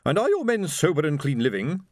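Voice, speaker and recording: A man plays an imperious wife in an audiobook, pitched high and swooping. She is domineering and disapproving, and she issues demands in a snapping, haughty manner. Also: wildly swooping pitch, a little fast, dry recording, studio quality. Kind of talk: audiobook character voice.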